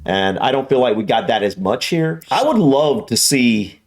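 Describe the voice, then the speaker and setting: A man's voice: medium pitch, expressive, normal speed. A man with an American accent hosting a reaction video, talking in a casual, rambling, opinionated way and often repeating himself. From United States. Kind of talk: casual conversational commentary.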